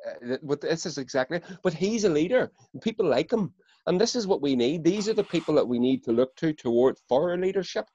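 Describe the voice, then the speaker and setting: A man's voice: on the high side, expressive, brisk, dark tone, no echo. A Northern Irish man talks politics in casual chat, swearing freely and tripping over repeated words when worked up. The sound is close and dry, slightly dull on top. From Northern Ireland.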